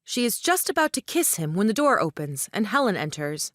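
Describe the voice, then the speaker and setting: A woman's voice: mid-range voice, very animated, fairly quick, a bit bright, no room sound, studio quality. A woman reading stage directions as scripted narration for an audio play.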